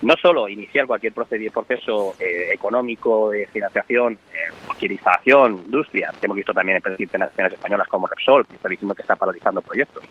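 A man with a low, husky voice who delivers worried, analytical commentary at a fast pace, often pausing on "eh". He comes through a dull, lo-fi line. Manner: worried, analytical, with frequent "eh" fillers. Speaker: economic commentator. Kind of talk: radio commentary.

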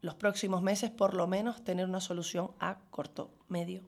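A woman's voice: on the low side, steady tone, moderate pace. A woman answering in an interview as a councillor, emphatically listing her points phrase by phrase. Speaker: town councillor.

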